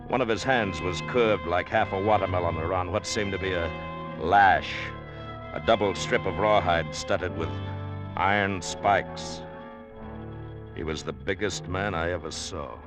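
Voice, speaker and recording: A man's voice: sits low, a little slow. An actor playing a tough, wry American man in a 1940s radio drama, laconic and dry as he tells his own adventure. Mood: tense narration. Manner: hard-boiled, laconic, dry. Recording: old radio recording with music underneath.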